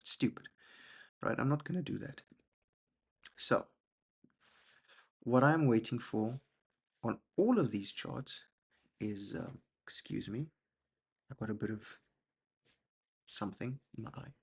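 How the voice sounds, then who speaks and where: Gravelly man rambling about charts. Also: mid-range voice, slow, long pauses. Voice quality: gravelly, husky. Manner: rambling, self-deprecating, frequent "okay?" check-ins. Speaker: trading channel host. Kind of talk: casual screen-share trading walkthrough.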